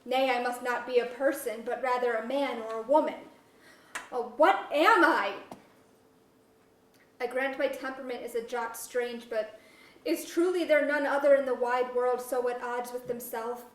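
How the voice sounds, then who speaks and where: A woman's voice: on the high side, moderate pace, natural voice. A woman playing a brooding, genderless magical royal in archaic verse at a staged reading. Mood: anguished, questioning. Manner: grand archaic verse delivery, self-questioning. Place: museum auditorium. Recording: distant microphone in echoey auditorium.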